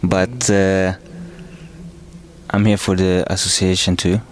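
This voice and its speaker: A man's voice: sits low, level tone, slow pace, a little hiss or hum. A man in his twenties answering interview questions hesitantly, with stammers and restarts. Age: 20s.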